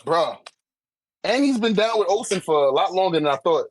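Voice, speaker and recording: A man chatting casually in an animated, slangy manner with heavy swearing, as a guest in a friendly debate. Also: high-pitched, normal speed. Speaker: guest debating a manga tier list. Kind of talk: casual chat.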